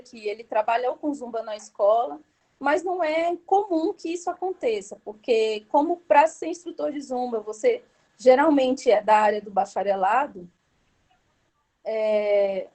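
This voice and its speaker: A woman with a mellow, warm voice pitched a little high, talking on a video call. She answers slowly and haltingly, stopping and restarting often as she explains her work as a Zumba instructor. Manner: halting, many fillers and restarts. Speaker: Zumba instructor guest speaker. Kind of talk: hesitant spontaneous academic answer.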